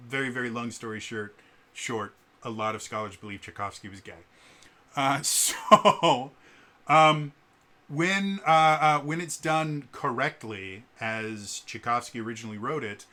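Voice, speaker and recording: Bright-voiced man with a stutter. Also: medium pitch. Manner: halting, many fillers and repeated sounds. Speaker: vlogger. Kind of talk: casual spoken commentary to camera.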